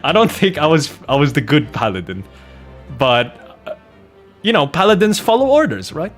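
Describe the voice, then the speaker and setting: A man streaming a game, chatting casually and telling anecdotes in an animated, joking way with mock innocence. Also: on the high side, moderate pace, faint music behind. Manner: animated, jokey storytelling with mock-innocent protests. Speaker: streamer playing a video game and host of the channel.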